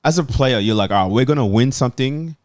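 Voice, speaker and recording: A man's voice: mid-range voice, expressive, fairly quick, darkish tone, dry recording, clean studio sound. A man chatting casually and giving his opinions as a panelist on a football talk show.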